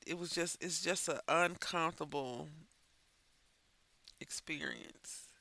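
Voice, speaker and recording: Gravelly, bright-voiced man, stuffy and chatty. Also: a little high, big pitch swings, slow pace. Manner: rambling, chatty, thinks aloud. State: stuffy and congested. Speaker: crafting channel host. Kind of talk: casual chat while coloring.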